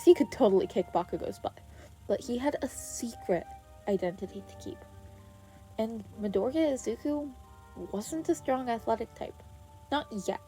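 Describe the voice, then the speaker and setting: A woman reading scripted fiction aloud as an expressive podfic narrator. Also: on the high side, low-quality recording. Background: background music.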